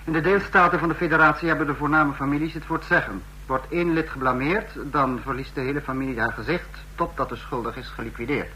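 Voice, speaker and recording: A commanding male regime leader in a radio play. His bright, firm voice is measured and didactic, with cool authority. The recording carries a faint hum. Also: a little high, poor audio. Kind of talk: Dutch radio play acting.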